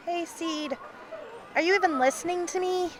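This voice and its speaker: Woman as a high-voiced, chatty townspony. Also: a little slow. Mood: cheerful, eager. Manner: casual, eager chatter. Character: chatty, cheerful female townspony friend. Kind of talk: fan radio drama acting.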